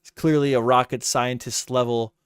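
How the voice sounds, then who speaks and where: A man's voice: mid-range voice, steady tone, normal speed, smooth, no echo, clean studio sound. A man giving heated, casual commentary as a reaction streamer, ranting and exasperated, often repeating himself.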